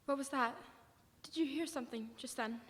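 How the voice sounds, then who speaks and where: A woman's voice: high voice, normal speed. A woman playing a defiant, wary militia survivor with blunt, folksy, emphatic speech in a live radio drama. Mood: wary, challenging. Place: church hall stage, live performance. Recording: echoey hall, live performance.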